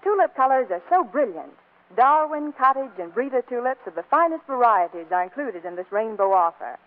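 A woman's voice: fairly high pitch, big pitch swings, a little fast. A woman reading scripted 1940s radio commercial dialogue as a spokeswoman, on a muffled vintage broadcast.

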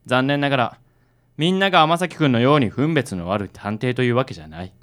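A man playing a kindly, self-styled handsome man with a smooth, affected and reassuring way of talking in a radio drama. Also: mid-range voice, expressive, a little fast, fairly bright tone, close to an everyday voice. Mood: gently reasoning.

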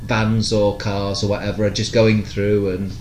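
A man's voice: lowish voice, level tone, normal speed, darkish tone. A man chatting casually as a podcast co-host, easygoing and a little hesitant. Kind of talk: casual chat reviewing TV shows. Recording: echoey room, faint background noise.

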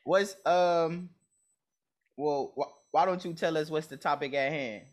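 A man's voice: on the high side, unhurried. A man hosting a podcast, talking casually with loose slang and a playful edge. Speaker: podcast host.

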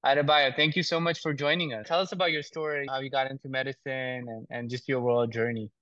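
A man's voice: medium pitch, fairly even intonation, fast, bright, forceful tone, no echo, dull audio. A man hosting a podcast, introducing his guest and affirming him often. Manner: encouraging, frequent short affirmations. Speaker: podcast host. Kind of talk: interview hosting.

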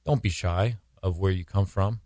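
A man from the United States, a missionary guest on a radio interview, speaking thoughtfully and haltingly, with frequent restarts. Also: low voice, darkish tone, no echo, studio quality. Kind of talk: casual interview talk.

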